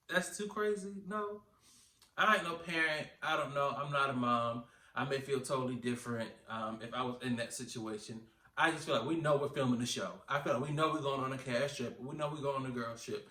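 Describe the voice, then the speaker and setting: A man with a Southern US accent giving casual, chatty commentary as a reviewer, animated, sassy and opinionated, often posing rhetorical questions. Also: mid-range voice. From Georgetown, South Carolina, United States. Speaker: reality TV recap reviewer. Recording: roomy echo, distant from the mic.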